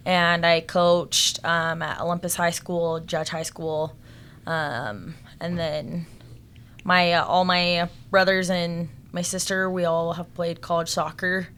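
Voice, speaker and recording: A woman's voice: on the low side, steady tone, slow pace, fairly bright tone, slight background noise. An American woman in her thirties, a podcast guest talking casually about herself and her work. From Salt Lake City area, United States. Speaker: podcast guest, personal trainer and coach. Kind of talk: casual chat.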